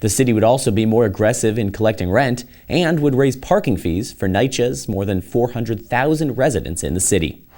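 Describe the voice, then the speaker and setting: A man's voice: fairly low pitch, animated, brisk, a little mellow. A man with an American accent reading a scripted news report as a reporter and anchor.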